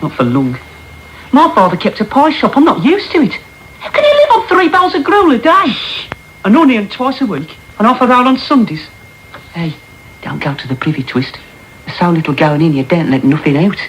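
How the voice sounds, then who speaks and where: A woman playing a bossy, hungry workhouse orphan boy in a period radio drama, grumbling in rough colloquial speech. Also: mid-range voice, very animated, normal speed, natural voice. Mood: grumbling, desperate. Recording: noisy off-air radio recording.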